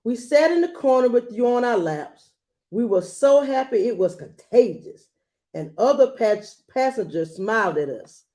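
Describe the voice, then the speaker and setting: A woman reading a children's picture book aloud expressively and gently, adding small asides as she goes. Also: a little high, lively intonation. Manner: gentle, unhurried, adding commentary to listeners.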